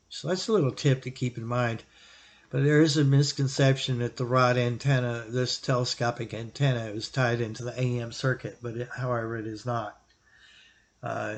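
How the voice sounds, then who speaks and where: A man hosting a hobby video, explaining gear in a casual, plain-spoken, unscripted way with halting pauses. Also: medium pitch. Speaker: radio hobbyist host answering viewer question. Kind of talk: casual explanatory product talk.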